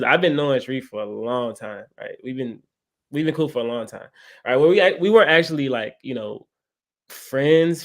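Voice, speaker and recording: Male, 20s, interview guest. Medium pitch, casual storyteller who keeps checking the listener follows. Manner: often checks in with "right?". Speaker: guest, credit content creator.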